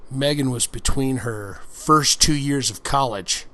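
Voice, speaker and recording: Slow-talking man reading a story aloud. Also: medium pitch, pushed and bright. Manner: breaks off to comment crudely on the story. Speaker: reader of an ASMR book-reading show. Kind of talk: slow relaxed story reading with crude joking asides.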